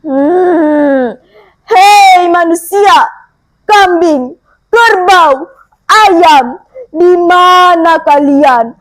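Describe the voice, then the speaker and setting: A woman voicing a menacing old man-eating giantess in a folktale, growling and bellowing with drawn-out calls. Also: very high-pitched, no echo, exaggerated voice, low-quality recording. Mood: menacing, ravenous. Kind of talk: theatrical folktale storytelling.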